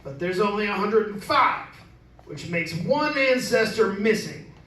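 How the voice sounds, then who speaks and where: A man's voice: high-pitched, lively intonation, a little slow, fairly bright tone, a little hiss or hum. An actor playing a blunt, bossy, wealthy middle-aged father in an amateur old-time radio drama. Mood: indignant. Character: blunt, wealthy, brash father who bought an old house. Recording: echoey room, distant microphone.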